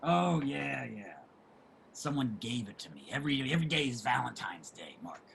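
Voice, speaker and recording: A man playing a streetwise homeless man in a play reading, generous and opinionated, with salty, emphatic street talk and a husky voice. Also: medium pitch, sing-song, normal speed, a bit bright, heavily acted. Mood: friendly. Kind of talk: dramatic table reading of a stage play.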